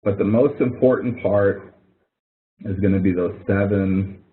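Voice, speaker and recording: Deep-voiced man lecturing over a tinny line. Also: measured pace. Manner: conversational, explains with examples, self-corrects. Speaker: instructor. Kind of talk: recorded webinar lecture. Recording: tinny phone-like webinar audio.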